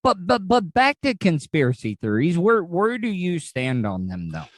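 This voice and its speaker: A man's voice: high-pitched, huge pitch swings, normal speed, no echo, clean studio sound. An American man hosting a podcast, talking in a rambling way and often repeating and restarting his words, recorded outdoors outside a hospital. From United States. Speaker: podcast host. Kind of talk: casual podcast chat.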